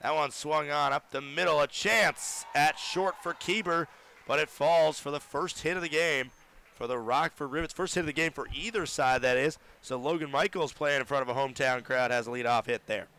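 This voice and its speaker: Bright-voiced young baseball radio announcer. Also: male voice, a little high, normal speed, creaky. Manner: steady, builds up on hits. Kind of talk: live baseball play-by-play commentary. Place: ballpark broadcast booth.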